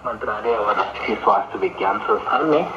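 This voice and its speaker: A man's voice: fairly low pitch, quick pace, a crowd in the background. A man reading formal scripted news as a radio newsreader, heard through a radio. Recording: dull, noisy shortwave radio reception.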